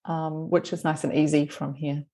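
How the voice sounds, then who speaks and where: A woman's voice: sits low, level tone, moderate pace, dark tone. A woman from New Zealand with a mixed New Zealand accent, talking casually as an interview guest, chatty with frequent ums. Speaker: guest, education marketing consultant.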